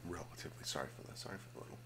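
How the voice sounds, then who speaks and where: A man hosting a casual, rambling ASMR video, slipping between vocal fry and whispering and often repeating his phrases. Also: rapid-fire. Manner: alternates hushed fry and whispering.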